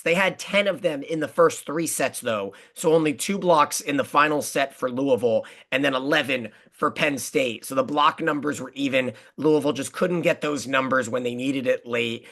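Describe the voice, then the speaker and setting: A man's voice: mid-range voice, a bit bright. A man hosting a sports podcast, recapping matches with enthusiasm and plenty of statistics. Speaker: podcast host.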